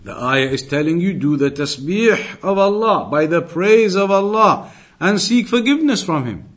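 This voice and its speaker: Higher-voiced man giving an Islamic lesson. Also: animated. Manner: didactic, deliberate, repeats key phrases for emphasis. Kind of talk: religious lecture, explaining Arabic texts in English.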